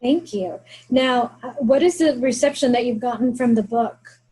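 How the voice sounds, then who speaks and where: Husky woman asking rambling questions. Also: on the high side, moderate pace, dark tone, poor audio. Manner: rambling, rephrases her questions mid-way. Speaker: book club host, interviewer. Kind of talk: casual interview questions.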